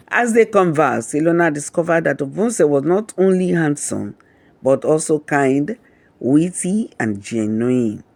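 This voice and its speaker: Higher-pitched male storyteller, dry studio sound. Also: no room sound. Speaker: storyteller, narrator. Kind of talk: scripted storytelling narration of a romantic folktale.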